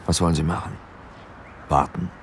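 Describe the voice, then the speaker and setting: A man's voice: low voice, steady tone, moderate pace, lightly acted. An actor playing an eager, ambitious male police officer in an audio drama, casual and bold. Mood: wary. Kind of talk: German audio drama acting. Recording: vehicle noise behind.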